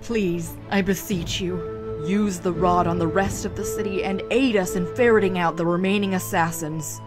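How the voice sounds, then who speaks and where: A woman's voice: on the low side, fairly even intonation, normal speed, lightly acted. A woman playing a formal female monarch in a visual novel, courtly and earnest. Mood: earnest appeal. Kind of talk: dramatic visual novel acting. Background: music.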